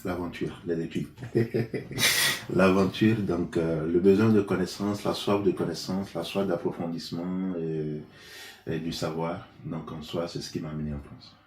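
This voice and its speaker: A man's voice: low-pitched, fairly even intonation, unhurried. A man from Benin, an interview guest, answering in a hesitant conversational way with many fillers and restarts. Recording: echoey room, faint noise.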